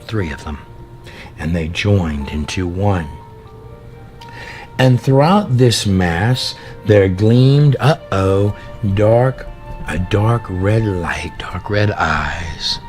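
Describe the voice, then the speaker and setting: Deep-voiced man preaching dramatically over music. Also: darkish tone. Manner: theatrical, emphatic, drawn-out dramatic phrasing with rhetorical questions. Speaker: preacher and storyteller. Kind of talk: dramatic sermon with read-aloud prophecy. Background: background music.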